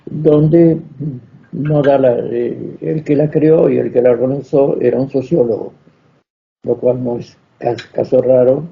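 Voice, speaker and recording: A man with a gravelly, creaky voice answers a question from the audience at a conference. He speaks haltingly, stopping for fillers and restarting his phrases. The sound is dull and lo-fi. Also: medium pitch, steady tone. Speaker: invited lecturer answering questions. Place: lecture hall.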